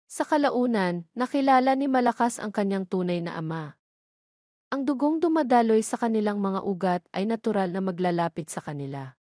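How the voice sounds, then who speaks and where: A woman's voice: mid-range voice, a little mellow, dry recording. Filipino woman narrating, dry close recording. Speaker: story narrator. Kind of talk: scripted narration of a romance drama.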